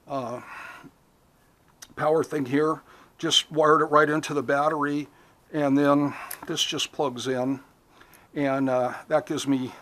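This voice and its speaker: A middle-aged man giving a casual, unhurried, matter-of-fact hands-on gear demonstration, recorded outdoors on a porch on a body-worn action camera. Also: fairly high pitch, fairly bright tone. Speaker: hobby angler demonstrating gear. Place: covered concrete porch outdoors. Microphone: head or chest-mounted action camera.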